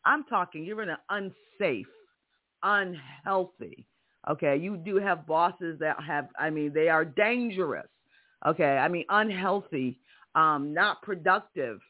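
A man's voice: on the high side, measured pace. A man with a strong voice hosting a career podcast, giving an emphatic motivational monologue full of rhetorical questions. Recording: tinny phone-like audio.

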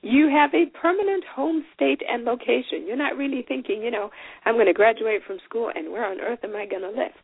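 Forties lady podcast host, folksy and encouraging, kinda husky, tinny phone sound. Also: on the high side, fairly quick, dry recording. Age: 40s. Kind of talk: solo podcast talk.

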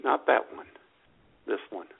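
A man co-hosting an internet radio show with casual, joking, irreverent banter. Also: a little slow. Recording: tinny, phone-like internet radio sound.

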